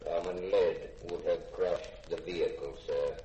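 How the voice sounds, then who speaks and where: Robot servant: slow, measured, deadpan and courteous; noisy vintage radio drama recording. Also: male voice, low-pitched. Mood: formal, unflappable. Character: polite, literal-minded servant robot, formal and unflappable. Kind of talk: 1950s radio drama acting. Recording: echoey, noisy old radio broadcast.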